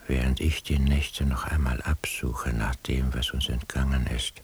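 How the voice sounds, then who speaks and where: A weary, obsessive man on surveillance thinks aloud in a radio play. His voice is extremely low and crackles with fry, breathy to a near whisper. He broods slowly and deliberately over each phrase. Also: full character voice. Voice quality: breathy, gravelly, half-whispered. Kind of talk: radio drama interior monologue.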